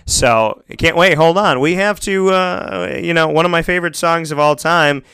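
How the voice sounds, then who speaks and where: A man's voice: on the high side, moderate pace, pushed and bright, clear, resonant tone, no echo, clean studio sound. An American man hosting a casual radio show, talking in a rambling, emotional, self-interrupting way.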